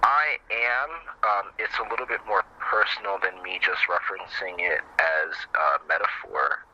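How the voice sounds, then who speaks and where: A man's voice: sits low, measured pace, pushed and bright. A man answering as an interview guest, casual and candid, on a dull lo-fi recording with faint noise.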